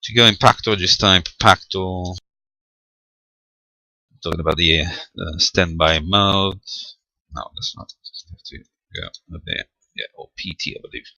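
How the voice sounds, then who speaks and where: Man, very low voice with a sharp bright edge, halting matter-of-fact delivery, radio hobby demonstrator. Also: steady tone, no echo, rough recording. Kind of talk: unscripted hands-on technical tutorial.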